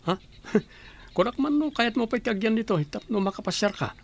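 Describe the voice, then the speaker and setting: A man playing a lively young husband abroad. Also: high voice, fairly quick, vocal fry, full character voice. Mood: friendly. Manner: lively, teasing. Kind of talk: amateur radio drama acting.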